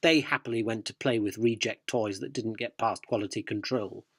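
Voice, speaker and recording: A man's voice: fairly low pitch, moderate pace, a bit bright, slightly rough, dry recording. A man playing an earnest, inquisitive magazine reporter in a radio drama, narrating and questioning with a dryly descriptive manner.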